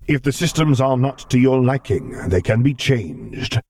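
A man voicing a grave, anxious masked cyborg father who speaks in formal, deliberate, protective phrases. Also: medium pitch, animated, a little mellow, dry recording, clean studio sound, voice pushed up, close to an everyday voice. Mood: gently chiding.

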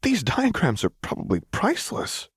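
A gentle young woman in a fantasy story, played by a male reader. The pitch is lifted above his own, the manner careful, tactful and worried. The sound is dry and close. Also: huge pitch swings, normal speed, slightly rough, no room sound, full character voice. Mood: awed, earnest. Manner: tactful, soft, careful wording.

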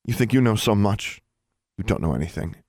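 A man voicing a fierce, grieving teenage girl witch in a storybook reading, with a high strained voice. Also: expressive, brisk, no room sound, clean studio sound. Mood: bitter. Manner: high strained voice, shouting to whispering.

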